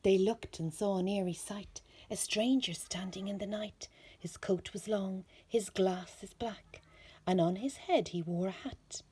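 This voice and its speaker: A woman with a husky, breathy voice reading a rhyming children's story aloud in slow, deliberate phrases. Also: medium pitch, darkish tone. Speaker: storybook reader.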